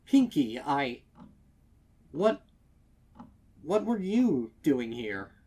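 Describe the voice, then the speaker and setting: A man's voice: on the high side, sing-song, drawn-out words, mellow, husky, highs cut off, pitched above the performer's own voice, full character voice. A man voicing a jumpy, kindly adult male pony shopkeeper with a hesitant, stammering manner in a storybook reading. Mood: startled.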